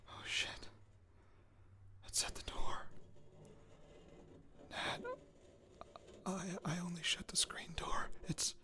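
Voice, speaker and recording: An actor playing a weary, jittery male radio reporter recording personal audio notes for a fiction podcast, in a halting, self-interrupting way. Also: mid-range voice, lightly acted. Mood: frightened, hushed.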